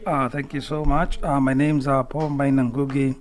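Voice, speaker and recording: Halting Kenyan man, medium pitch. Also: little pitch movement. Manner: hesitant, halting delivery with many pauses. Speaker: interview guest, community leader. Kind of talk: unscripted radio interview answers.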